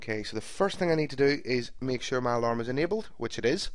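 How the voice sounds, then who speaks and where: A man giving a screen-recorded software tutorial, explaining each step in a steady, methodical way. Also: mid-range voice, fast talker, no room sound. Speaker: software trainer. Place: voice-over recorded for a screen capture.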